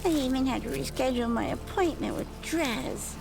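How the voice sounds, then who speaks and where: A woman's voice: high-pitched, moderate pace, gravelly, music in the background. An actress playing a scatterbrained, casually menacing huntress who rambles to herself and her animal in an audio roleplay. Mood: flighty, menacing. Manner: rambling, self-questioning, talks to her animal. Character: flighty, scatterbrained female hunter, casually menacing. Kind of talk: ASMR audio roleplay acting.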